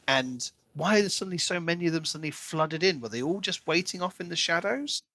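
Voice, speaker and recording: A man co-hosting a podcast, chatting casually and enthusiastically about a book in an expressive way. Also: on the high side, brisk, dry recording. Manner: enthusiastic, expressive, acts out quoted lines. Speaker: podcast co-host.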